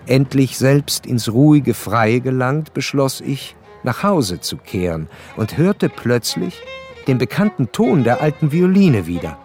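An actor playing an educated, curious gentleman who narrates a literary radio play in a measured, articulate way. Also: mid-range voice, very animated. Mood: observant, intrigued. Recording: studio radio play over faint violin music.

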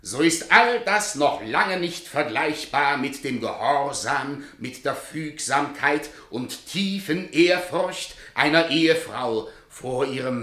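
An actor in a German radio play as a devoted, coaxing husband and theatre director, with a theatrical delivery that moves between declamation and murmur. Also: male voice, on the high side, bright, forceful tone, heavily acted.

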